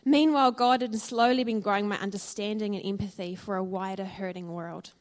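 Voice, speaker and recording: A woman's voice: mid-range voice, fairly even intonation, fairly quick. A woman with a New Zealand accent, probably in her thirties, giving an earnest and reflective personal testimony to a church audience, with frequent ums and uhs. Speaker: church speaker sharing her story.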